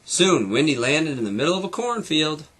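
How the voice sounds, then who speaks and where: A man's voice: on the high side, sing-song, normal speed, fairly bright tone. A man narrating a children's storybook expressively, like a teacher reading to his students.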